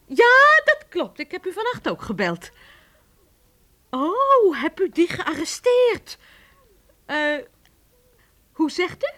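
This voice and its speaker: An actress playing an excitable, scatterbrained housekeeper in a comic audio drama, flustered, exclaiming and stammering. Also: female voice, extremely high pitch, slow, long pauses, exaggerated voice. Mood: flustered, excited. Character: excitable, scatterbrained housekeeper, quick to laugh and defend herself.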